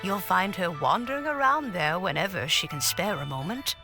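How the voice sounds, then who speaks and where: A teasing old granny. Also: female voice, on the low side, lively intonation, music in the background. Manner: warm, gently teasing, unhurried. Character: elderly grandmotherly woman, gently teasing. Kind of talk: game cutscene voice acting.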